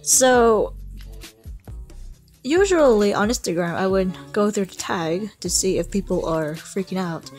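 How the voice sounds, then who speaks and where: A woman's voice: mid-range voice, animated, a little slow, a little mellow, soft background music. A young woman talking casually in a vlog, hesitant, with frequent stutters and restarts. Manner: hesitant, stutters and repeats herself. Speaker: vlogger. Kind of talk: casual, unscripted vlog talk.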